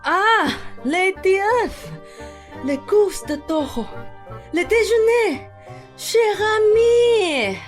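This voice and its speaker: A woman acts out a crooked fake Spanish nobleman in a radio farce. He speaks slowly, with big swoops in pitch, shifting from stammering hesitation to pompous gushing and orders. Faint music plays behind. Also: lively intonation, full character voice. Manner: hesitant stammering, then effusive and bossy. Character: bungling male thief posing as a Spanish duke. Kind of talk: student radio play acting.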